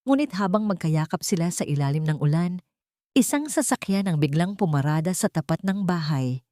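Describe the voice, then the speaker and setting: Female storyteller for romance audio drama, on the lower side, close and dry recording. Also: expressive, normal speed, dark tone, dry recording. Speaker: narrator.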